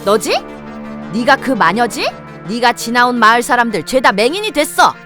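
A woman's voice: fairly high pitch, big pitch swings, moderate pace, pushed and bright, faint music behind. A woman playing an accusing, frightened villager in a melodramatic fairy-tale cartoon.

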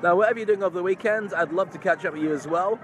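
Higher-voiced man vlogging amid outdoor noise. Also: very fast. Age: middle-aged. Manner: effusive, chummy. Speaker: vlogger, entrepreneur and stage hypnotist. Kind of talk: casual chatty live-stream vlog to followers. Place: large open park outdoors among crowds. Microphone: phone held at arm's length. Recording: noisy outdoor night crowd ambience.

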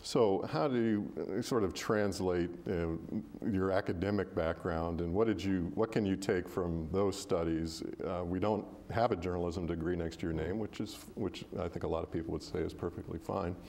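Low-voiced man moderating campus event. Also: fairly even intonation, moderate pace, few pauses, a little mellow. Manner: friendly, formal thank-yous. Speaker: moderator, professor.

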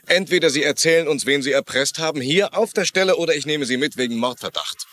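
A man's voice: fairly high pitch, quick pace, a bit bright, a little hiss or hum, close to an everyday voice. An actor playing a stern, determined man pressing for answers in a German-dubbed TV crime drama, firm and blunt. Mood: stern, insistent. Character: determined, stern son of accused man, official-looking.

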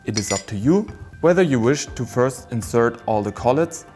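A man in his thirties giving a scripted, formal, step-by-step instructional presentation of a product in a measured way. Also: medium pitch, expressive, a little mellow, soft background music. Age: 30s.

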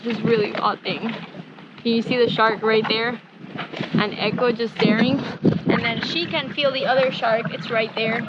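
A young woman vlogging casually from a sailboat deck, chatty and excitable with lots of "like," into a handheld action camera. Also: on the high side, normal speed, muffled recording. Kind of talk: casual vlog narration. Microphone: action camera held close. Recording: loud wind and water noise.

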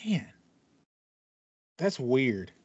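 A man's voice: mid-range voice, sing-song, extremely slow, darkish tone, breathy edge, no echo. A man chatting casually as a gaming podcast host, sympathetic and mock-indignant. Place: small room with acoustic foam, indoors. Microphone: headset on a video call. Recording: slightly dull, lo-fi online call.

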